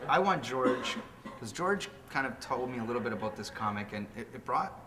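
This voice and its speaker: A man's voice: medium pitch, expressive, a little fast, noisy background. A man bantering casually on a panel, jumping in with joking interjections. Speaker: comic review show panelist.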